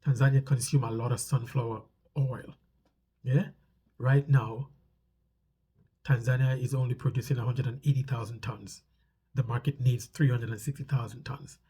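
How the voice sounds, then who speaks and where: A man's voice: mid-range voice, steady tone, normal speed, long pauses, dark tone, breathy edge. A man with a stuffy nose talks casually to viewers as a vlog host, explaining things conversationally and repeating phrases for emphasis.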